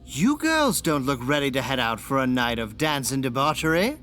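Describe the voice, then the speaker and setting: Stiff, swooping, scheming vampire regent. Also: female voice, very deep voice, sing-song, normal speed, fairly bright tone, slight background noise, full character voice. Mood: imperious. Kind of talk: audio drama acting.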